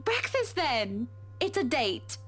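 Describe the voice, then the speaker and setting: High-voiced, haughty wealthy wife. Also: female voice, very animated, slow, a bit bright, heavily acted. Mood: haughty, breezy. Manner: haughty, breezy, polished. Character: wealthy, imperious, genteel wife buying a mansion. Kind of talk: visual novel voice acting. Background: faint music.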